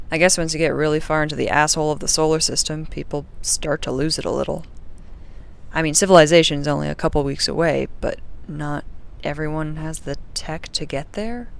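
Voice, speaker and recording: A woman playing a sardonic, frustrated young spaceship crew member recording a personal log in an audio drama, with a dry, sweary, grumbling manner and a creaky voice. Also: sits low.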